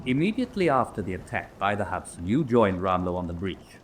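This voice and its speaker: An actor playing a relentless, precise military prosecutor in a radio drama, questioning formally and pressing hard. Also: male voice, sits low, very animated, a little fast, slight background noise. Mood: pressing, accusatory. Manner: formal, pressing cross-examination. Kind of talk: radio drama courtroom acting.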